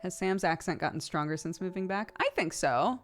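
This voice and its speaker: A woman's voice: fairly low pitch, brisk, soft background music. A woman in her thirties streaming from home, chatting casually with her viewers, giggling often and swearing offhandedly.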